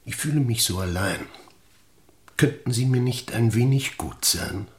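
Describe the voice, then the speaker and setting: A man's voice: mid-range voice, measured pace, slight background noise, lightly acted. A middle-aged man playing a lonely, patronising boss with insinuating manner in a German radio play. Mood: wheedling.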